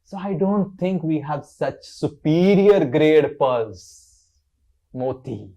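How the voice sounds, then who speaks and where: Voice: mid-range voice, expressive, a little slow, dark tone, voice pushed up. A storyteller with an Indian accent voicing a proud young cowherd girl who teases with mock humility. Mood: mock-humble sarcasm.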